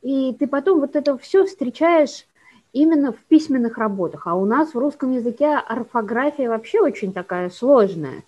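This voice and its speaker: A woman with a somewhat high voice explains things at length and in a measured way, as a guest educator talking about teaching speech sounds. The recording is dull and lo-fi. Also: animated, dark tone. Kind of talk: explanatory conversation.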